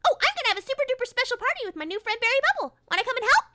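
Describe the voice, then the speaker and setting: A woman voicing a giddy, bubbly young female party pony in an excitable cartoon style, on a slightly noisy home recording. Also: very high voice, rapid-fire, exaggerated voice. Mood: giddy, excited. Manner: excitable, exclamatory. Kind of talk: animated cartoon-style audio drama.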